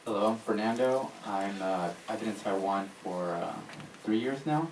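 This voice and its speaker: A deep male voice in an echoey room, distant from the mic, with clear noise behind. The man introduces himself casually as a panelist at a live talk. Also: moderate pace. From United States and Germany. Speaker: game developer, panelist.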